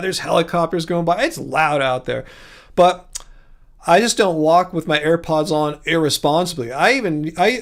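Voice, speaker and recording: A man with a Californian American accent hosting a casual livestream, rambling and easygoing as he replies to viewers. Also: fairly high pitch. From California, United States. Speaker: livestream host. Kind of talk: casual livestream chat, replying to viewer comments.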